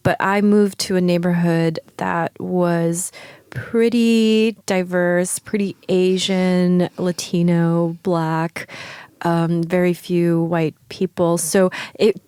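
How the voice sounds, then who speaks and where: A woman's voice: fairly low pitch, steady tone, takes it slowly, mellow, clear, resonant tone, no echo. A woman with an American accent, raised in New York, talking casually as an interview guest, thoughtful, with many fillers and light laughs. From China, raised in New York. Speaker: guest writer.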